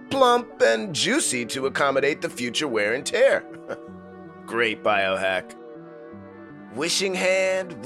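A man's voice: fairly high pitch, a bit bright, exaggerated voice. An actor playing a gullible, self-satisfied man with a naive, earnest delivery in a comedy-horror radio play.

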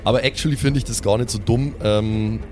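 A man with a fairly low voice plays a tabletop game. He reasons out loud casually, sprinkling in English slang, with faint music underneath. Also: fairly even intonation, brisk. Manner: thinking aloud, mixes in English slang. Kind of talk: casual improvised game chat.

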